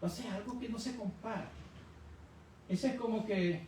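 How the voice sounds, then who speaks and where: A man with a high, mellow voice teaches scripture in a conversational, didactic way, posing questions as he explains. He is recorded at a distance in a heavily reverberant room. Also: normal speed. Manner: didactic, poses rhetorical questions to listeners. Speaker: church Bible teacher. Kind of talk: conversational expository Bible teaching. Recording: very echoey room, distant mic, faint noise.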